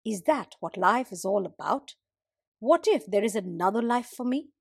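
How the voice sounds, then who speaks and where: A woman's voice: mid-range voice, lively intonation, moderate pace, gravelly, husky, no echo, clean studio sound. A woman voicing a bold, restless sheep in a children's folktale, earnest and urging. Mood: wondering.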